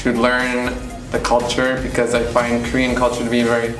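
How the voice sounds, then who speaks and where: A young adult man student speaking in a measured way, with a few fillers. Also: medium pitch, monotone. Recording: roomy, distant mic, music behind.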